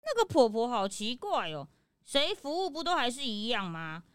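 A woman's voice: on the high side, big pitch swings, normal speed, smooth, no room sound, studio quality. A woman playing an eager, chatty young detective's sidekick in a children's audio drama, in a childlike manner. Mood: complaining.

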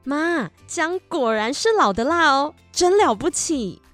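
A woman plays a caring lady full of admiration in a children's radio play. Her voice sits a little high. It is recorded dry, with faint music. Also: lively intonation, unhurried, a bit bright, no room sound. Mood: caring, admiring. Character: caring mother and gushing elderly woman friend. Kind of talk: children's educational radio drama acting.

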